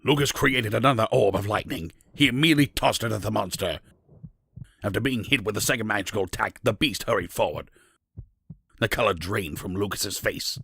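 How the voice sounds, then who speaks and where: A man's voice: fairly low pitch, fairly quick, vocal fry, pushed and bright, breathy edge, no echo. A man narrating a scripted fantasy story as the narrator of an audio drama.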